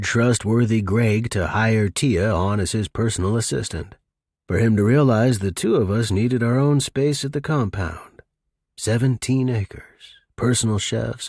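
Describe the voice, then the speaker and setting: A man's voice: on the low side, darkish tone, husky, no echo, studio quality. A man narrating a noir audiobook as a world-weary, middle-aged crook, wry and clipped, with a regretful tender side. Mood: wry reminiscence.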